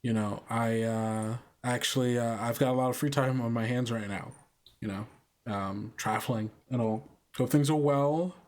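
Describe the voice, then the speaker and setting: A man playing an awkward, down-on-their-luck drifter in improvised tabletop roleplay, speaking in a halting, hesitant way. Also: medium pitch, steady tone, close to an everyday voice. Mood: awkwardly upbeat.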